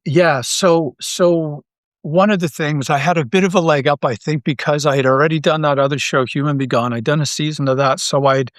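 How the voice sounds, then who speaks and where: A middle-aged man talking casually as an interview guest, thinking aloud with halting, repeated phrases. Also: fairly high pitch, a bit bright, no room sound, clean studio sound. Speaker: guest, audio drama writer and creator.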